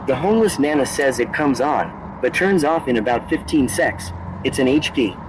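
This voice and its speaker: A male text-to-speech voice reading out viewer chat messages in a flat synthetic delivery. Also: medium pitch, normal speed, background noise.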